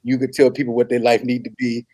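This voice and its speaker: A man in a comedy table read playing an apologetic, flustered suitor who stammers and fibs, very polite and halting. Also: medium pitch, completely flat delivery, a little mellow, no room sound, lightly acted. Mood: nervous fibbing. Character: apologetic, flustered man, nervously fibbing to impress his date.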